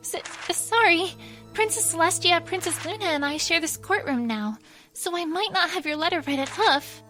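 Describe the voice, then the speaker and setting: A woman voicing a gentle young pony princess in a fan audio drama, hesitant, apologetic and stammering. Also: extremely high pitch, animated, normal speed, full character voice. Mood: polite, flustered. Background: music.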